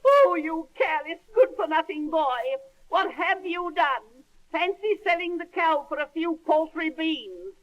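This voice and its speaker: A man voicing an anxious, scolding older mother in a storybook reading, shrill and exasperated. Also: lively intonation, unhurried, fairly bright tone, lo-fi sound, full character voice.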